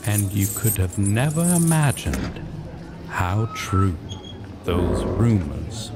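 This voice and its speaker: A man's voice: fairly low pitch, sing-song, slow pace. A man reading scripted second-person fantasy narration as a storyteller. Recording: very noisy recording with clicks.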